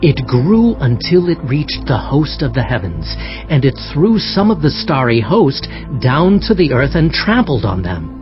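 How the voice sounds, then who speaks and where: Solemn prophet, slightly muffled. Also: male voice, mid-range voice, big pitch swings, unhurried. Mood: solemn, awed. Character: prophet Daniel, earnest solemn man recounting visions. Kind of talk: dramatized scripture narration. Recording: dull audio under background music.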